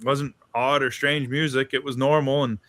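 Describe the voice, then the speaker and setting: A man's voice: mid-range voice, flat delivery, moderate pace, a bit bright, no room sound. A man from Maine chatting casually about his video channel, an easygoing storyteller full of "you know" and "yep". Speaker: host. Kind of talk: casual chat.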